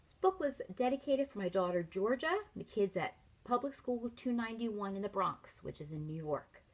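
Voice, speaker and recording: A middle-aged woman, a teacher reading a picture book aloud to children, expressively and with pauses to ask them leading questions. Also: mid-range voice, lively intonation, slight background noise. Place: small furnished room at home, indoors.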